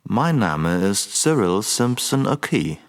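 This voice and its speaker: Sly theatrical irish gentleman detective in a radio play, lowish creaky voice, teasing. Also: big pitch swings, slow, full character voice. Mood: polite. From Ireland. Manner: teasing, drops English phrases into his speech.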